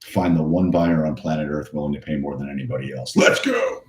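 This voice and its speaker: A man hosting a live cold-calling stream, talking in an energetic, motivational way, his voice a little hoarse and worn. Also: rumbling low, a little fast, roomy sound. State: hoarse, voice a bit shot. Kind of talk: casual pep-talk livestream chat.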